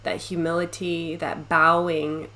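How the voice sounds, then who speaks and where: Very slow, soothing woman guiding meditation. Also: on the low side, level tone. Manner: gentle, unhurried, soothing, with long pauses. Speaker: guided meditation teacher. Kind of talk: guided meditation narration.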